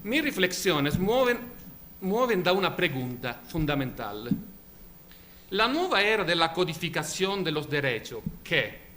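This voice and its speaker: A man whose first language is Italian, speaking with an Italian accent in a formal academic conference talk, measured, with occasional slips and self-corrections. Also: a little high, sing-song, bright, forceful tone, a little hiss or hum. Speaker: invited law professor.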